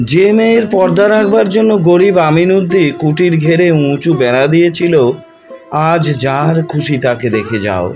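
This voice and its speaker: A man reads a literary story in a measured, expressive, dramatic narration, drawing out his phrases. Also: fairly high pitch. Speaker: audiobook narrator. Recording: music behind the voice.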